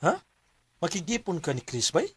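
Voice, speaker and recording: A man's voice: a little high, sing-song, normal speed, heavy background noise. A man acting as a friend in a radio drama, casual and friendly in manner.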